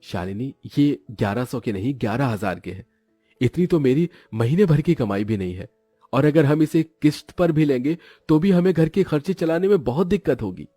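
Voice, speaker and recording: A man acts out a modest, patient cartoon husband. His voice is husky and swings widely in pitch, recorded dry over music. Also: animated, moderate pace, warm tone, no room sound, natural voice. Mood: hurt, reasoning. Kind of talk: cartoon voice acting.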